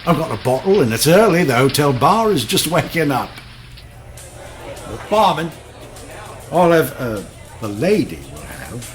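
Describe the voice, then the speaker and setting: An actor playing a cocky, flirtatious British celebrity photographer of the 1960s in a radio drama, swaggering and slangy. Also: male voice, fairly high pitch, sing-song, a little slow, pauses often, soft background music. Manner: swaggering, slangy, smooth-talking.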